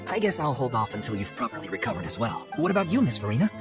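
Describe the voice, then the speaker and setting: Very low-pitched, sardonic woman. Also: sing-song, fairly quick, music in the background. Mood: teasing. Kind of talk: scripted video game voice acting.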